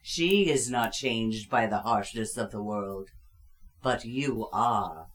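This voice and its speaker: Solemn, slow-spoken ghostly spirit played by a man. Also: on the low side, a bit bright. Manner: measured, grave, declamatory. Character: solemn male ghostly spirit of Christmas past. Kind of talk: theatrical radio drama acting.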